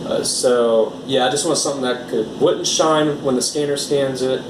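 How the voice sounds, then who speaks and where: A man's voice: mid-range voice, fairly even intonation, moderate pace, distant mic, noisy background. A man from the United States chats loosely through an unboxing, full of "uh"s and restarts. His voice sits back from the mic in a roomy, echoing space. An air conditioner hums steadily behind him. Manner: rambling, filler-heavy, easygoing. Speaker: art vlogger. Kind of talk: casual unboxing chat. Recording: echoey room, voice off-mic.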